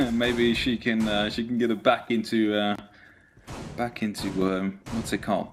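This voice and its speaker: A man's voice: fairly low pitch, flat delivery, a little slow, noisy background. A man who is a non-native English speaker, giving live esports play-by-play and casual commentary. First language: not English.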